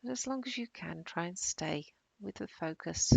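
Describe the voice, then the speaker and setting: A woman guiding a body-scan meditation in a calm, soothing, unhurried way, with long pauses and soft, breathy phrase endings. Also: lowish voice, dry recording. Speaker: meditation guide.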